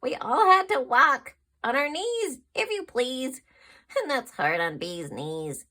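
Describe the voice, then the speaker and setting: A woman's voice: high-pitched, big pitch swings, slow, sharp tone, full character voice. A woman voicing a group of worried bees in a storybook, fussy in tone. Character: anxious buzzing bees.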